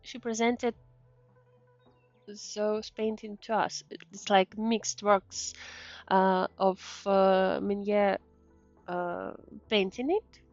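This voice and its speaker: A woman streamer chatting casually over a game in non-native English. She speaks slowly and haltingly in a fairly high voice, searching for words. The sound is slightly dull, with soft game music behind her. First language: not English. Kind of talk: casual gameplay commentary, reading dialogue aloud.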